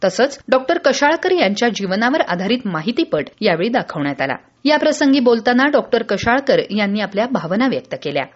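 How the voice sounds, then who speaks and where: High-pitched, lively woman newsreader. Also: brisk, hardly any pauses, dull audio. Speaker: news presenter. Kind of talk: scripted radio newsreel narration.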